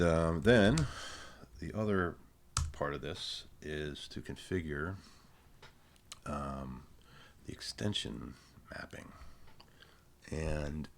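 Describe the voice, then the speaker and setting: A man giving a casual, unscripted technical walkthrough, thinking aloud in an informal, halting way. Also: extremely low pitch, vocal fry, slight background noise. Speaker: presenter of a technical tutorial.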